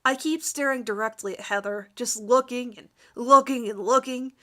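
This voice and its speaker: A woman's voice: on the high side, normal speed, a bit bright, close to an everyday voice. A woman voicing a vain, lovestruck teenage boy who narrates his crush with overwrought emphasis in a melodramatic fan fiction reading. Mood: frustrated, boastful.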